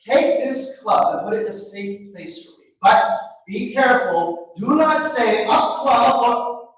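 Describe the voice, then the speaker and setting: A man voicing a boastful, excitable young man who shouts and lilts his exclamations, recorded from far off in an echoey room. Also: very high voice, a little slow, voice pushed up, exaggerated voice. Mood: cautioning. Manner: shouting, sing-song exclamations.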